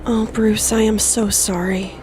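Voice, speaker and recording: A woman's voice: mid-range voice, flat delivery, a little slow, background noise, natural voice. A woman playing a caring, anxious but defiant companion in an audio drama, speaking conversationally. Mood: concerned.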